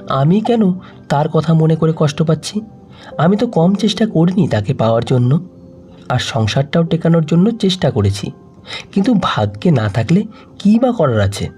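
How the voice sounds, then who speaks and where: A man reads a sad story aloud in a soft, sorrowful voice. His voice sits fairly high for a man and rises and falls widely. The tone is mellow, with faint music underneath. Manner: soft, sorrowful first-person reading. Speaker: audio story narrator. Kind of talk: scripted storytelling narration.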